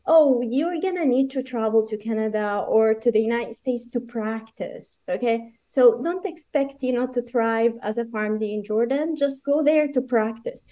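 A woman from Iraq speaks as a guest in an interview, fairly high-pitched yet with a mellow, darker tone. She talks in an animated way, full of fillers and quick asides. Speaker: guest, pharmacist running licensure courses. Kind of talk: conversational interview.

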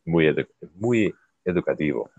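A man hosting a casual chat in Spanish as a learner whose first language is English, halting and searching for words. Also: very deep voice, lively intonation, creaky, a little mellow, dry recording, highs cut off. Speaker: podcast host.

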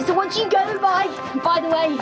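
A woman's voice: extremely high pitch, steady tone, a little fast. A woman playing an eager, naive, starstruck budgie in an audio drama, gushing and wide-eyed. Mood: curious, naive. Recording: music behind the voice.

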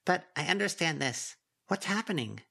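Little girl familiar, high pitch, childlike, unsure, questioning, voiced by a man, fantasy audiobook. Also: very animated, normal speed, creaky, pushed and bright, husky, full character voice. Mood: earnest.

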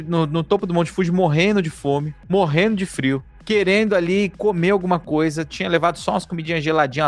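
A man telling a story in an emphatic, lively way, with swearing and rhetorical questions. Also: a little high. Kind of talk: storytelling monologue.

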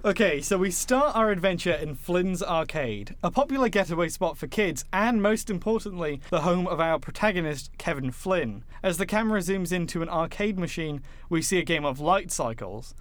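Jokey, higher-voiced male podcast co-host. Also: expressive, normal speed, hardly any pauses. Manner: jokey, sweary. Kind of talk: casual film podcast banter with synopsis read-out.

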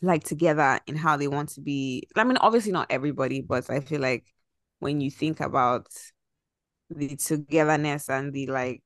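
A young woman from Nigeria with a deep, low voice, recorded dry and close. She talks in a relaxed, thoughtful way as a podcast co-host, often letting her sentences trail off. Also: normal speed, no echo. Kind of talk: casual chat.

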